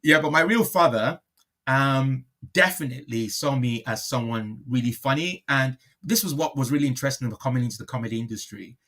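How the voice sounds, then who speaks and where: A man's voice: medium pitch, fairly quick, fairly bright tone. A man speaking reflectively in a conversational interview as a guest, often repeating and rephrasing his phrases. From born in Liverpool, moved to Nigeria at five.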